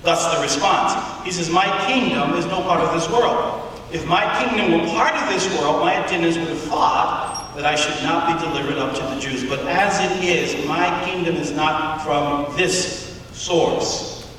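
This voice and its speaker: A man delivering a sermon, speaking emphatically and deliberately with rhetorical questions and pauses in a large auditorium. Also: on the high side, a bit bright. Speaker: preacher giving a public address. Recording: echoey hall from far off, faint noise.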